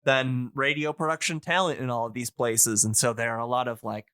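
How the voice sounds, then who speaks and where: A man's voice: medium pitch, steady tone, fairly quick, sharp tone, clean tone, no echo, clean studio sound. A man hosting a podcast in casual chat, explaining things enthusiastically and rambling as he searches for words. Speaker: podcast host.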